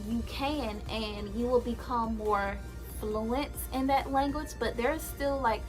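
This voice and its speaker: Young woman, casual vlog talk, lots of fillers, emphatic, slightly high voice. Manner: chatty, many "like" and "um" fillers, emphatic repeats. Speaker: language-learning vlogger.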